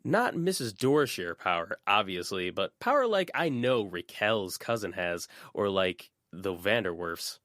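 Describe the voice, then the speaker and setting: A man's voice: fairly low pitch, very animated, measured pace, hardly any pauses, fairly bright tone, no room sound, clean studio sound. A man voicing a nosy, insistent teenage schoolgirl who presses with probing questions in an audiobook. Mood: suspicious, demanding.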